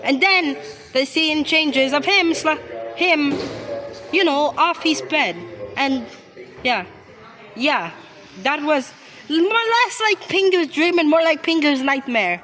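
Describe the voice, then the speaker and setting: A woman's voice: sits high, expressive, fairly bright tone, faint music behind. A woman giving casual, off-the-cuff reaction commentary in a blunt, argumentative way, often stumbling and repeating words. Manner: blunt, halting and repetitive, argumentative. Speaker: reaction video host.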